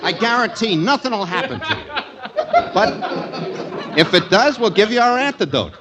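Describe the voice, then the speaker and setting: An actor playing a scheming, self-important bumbling sidekick, mock-grand and wheedling, in 1940s radio comedy. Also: male voice, sits high, sing-song, normal speed, a loud crowd. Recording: very noisy 1940s radio recording.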